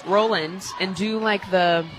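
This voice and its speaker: A man giving casual, teasing color commentary and conversational banter on a live softball radio broadcast. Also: high-pitched, steady tone, unhurried, background noise. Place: stadium radio booth.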